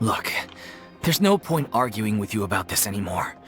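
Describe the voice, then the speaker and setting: A man's voice: fairly low pitch, big pitch swings, moderate pace, fairly bright tone, faint music behind. A man voicing an earnest, worried young theater director in a video game, with a concerned manner. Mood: serious, apologetic.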